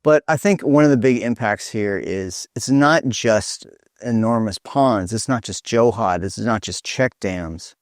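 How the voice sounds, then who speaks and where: Guy with a lowish voice hosting a casual webinar, earnest, stumbles a bit, no room echo. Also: unhurried, studio quality. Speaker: presenter hosting an online talk. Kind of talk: informal webinar presentation. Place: video call.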